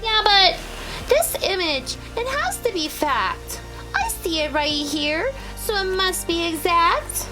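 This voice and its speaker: Woman voicing a very high, questioning young child. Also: big pitch swings, takes it slowly, over music, heavily acted, rough recording. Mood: insistent. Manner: earnest, questioning, insistent. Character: lost, confused, questioning young child seeker. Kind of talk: children's picture book read-aloud.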